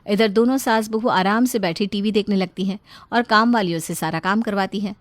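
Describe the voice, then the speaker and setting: A woman's voice: medium pitch, fairly quick, no echo. A woman narrating a scripted story.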